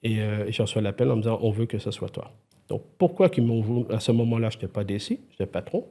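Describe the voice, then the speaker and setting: A man's voice: on the low side, quick pace. A middle-aged man from Quebec with a Québécois accent, telling stories as a guest in a casual conversation. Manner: lively, animated storyteller, frequent restarts. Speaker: podcast guest, IT executive.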